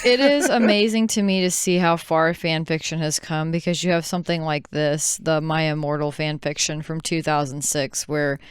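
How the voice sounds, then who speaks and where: A woman's voice: deep voice, fairly even intonation, moderate pace, hardly any pauses, clear, resonant tone, no echo, studio quality. A woman podcast host talking casually and conversationally, explaining things to her co-hosts and listeners.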